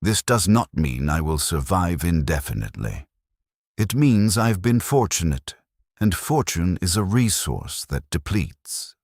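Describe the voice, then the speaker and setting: A man voicing a very deep, stoic veteran gunner. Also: dry recording, clean studio sound, heavily acted. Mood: stoic, fatalistic. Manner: flat, precise, deliberate. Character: battle-worn male heavy gunner, flat, stoic, fatalistic. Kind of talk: character dialogue in military sci-fi story narration.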